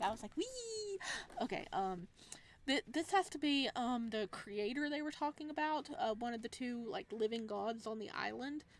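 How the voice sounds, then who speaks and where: A woman hosting a game read-through, switching between narrating a visual novel and casual, excitable, giggly commentary. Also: a little high. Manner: excitable, giggly, reacts to the story. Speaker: gaming channel host.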